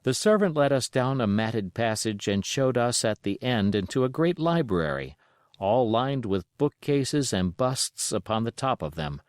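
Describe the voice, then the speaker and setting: A man reading a storybook aloud as scripted, expressive narration. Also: fairly low pitch, no room sound, clean studio sound. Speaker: audiobook reader.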